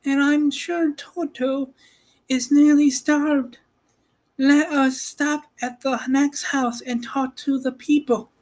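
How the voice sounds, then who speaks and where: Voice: high-pitched, steady tone, slow, a little mellow, voice pushed up, poor audio. A man voicing a brave, polite and earnest young farm girl in a storybook reading. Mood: hungry, earnest.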